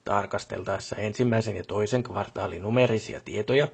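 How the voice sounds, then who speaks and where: Pompous, husky-voiced company boss. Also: male voice, lowish voice. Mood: formal, pompous. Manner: stiff, long-winded corporate formality. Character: pompous, bureaucratic middle-aged male company boss. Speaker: job boss character. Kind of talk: formal scripted meeting speech in a video game.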